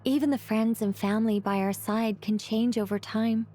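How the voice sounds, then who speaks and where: A woman playing a wise, calm companion who speaks thoughtfully and gently guides others in a game cutscene. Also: fairly high pitch, normal speed, a little hiss or hum, close to an everyday voice. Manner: thoughtful, reflective, gently guiding.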